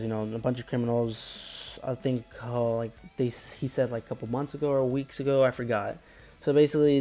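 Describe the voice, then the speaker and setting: Male reviewer, medium pitch, mellow tone, casual unscripted recap, rambling and filler-heavy, light music underneath. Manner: rambling, repetitive filler phrases, stumbling over names. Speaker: anime episode reviewer.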